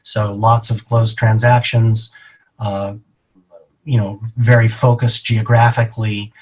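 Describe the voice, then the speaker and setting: A middle-aged man being interviewed as a real estate agent, explaining his answers in a measured, deliberate way. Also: on the low side, level tone, a little mellow, slightly rough, rough recording.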